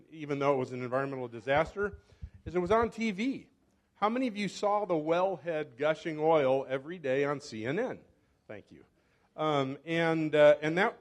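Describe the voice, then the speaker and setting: An older man, a scientist, giving a casual talk at a club meeting, often correcting himself and pausing. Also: on the high side. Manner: chatty, self-correcting, fumbles with clicker. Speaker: guest speaker, scientist. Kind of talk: informal lecture. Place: meeting hall. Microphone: handheld or podium microphone.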